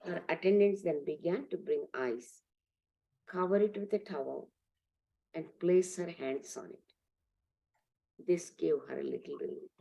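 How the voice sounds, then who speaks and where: A woman with a hoarse voice, the class reader, earnestly reading a spiritual biography aloud in a steady, measured way. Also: lowish voice, low-quality recording.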